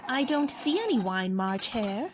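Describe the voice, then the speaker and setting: A woman's voice: on the high side, very animated, a little slow, a little hiss or hum. A woman playing a curious, prim girl in a radio play, precise and a little scolding.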